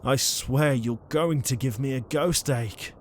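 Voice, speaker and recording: Man playing an unhurried, exasperated ghost leader. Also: mid-range voice, slight background noise, natural voice. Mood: exasperated, dry. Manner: weary, sarcastic, sighing. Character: exasperated young ghost leader, reluctant protector, named Liam. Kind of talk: audio roleplay acting.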